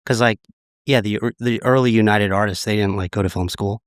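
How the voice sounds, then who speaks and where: A man in his late thirties, a podcast guest, slightly raspy from a recent illness, talking in a relaxed, reflective way. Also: lowish voice, a little fast, dry recording, studio quality. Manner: relaxed, reflective, many "like" fillers. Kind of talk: casual interview chat.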